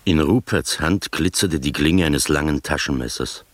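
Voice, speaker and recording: An actor in a radio drama playing a skeptical gentleman who narrates his uncanny adventure in a measured, literary manner. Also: extremely low pitch, dry recording. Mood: measured, suspenseful.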